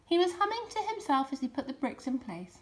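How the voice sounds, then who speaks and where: A woman narrating a children's storybook in an expressive reading style. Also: high voice, brisk. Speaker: storybook reader.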